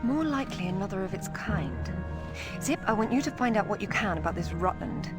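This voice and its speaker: A woman's voice: mid-range voice, animated, a little fast, loud music behind the voice, close to an everyday voice. An actress with a British accent playing a composed, dryly witty aristocratic woman adventurer in a video game, with a cool manner. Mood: businesslike.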